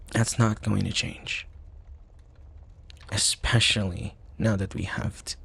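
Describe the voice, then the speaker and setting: A man playing a young college student, a protective, teasing and tender best friend, in an intimate ASMR roleplay with soft, sighing, hesitant delivery. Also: on the low side, full character voice. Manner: soft, sighing, hesitant stammers, breathy asides.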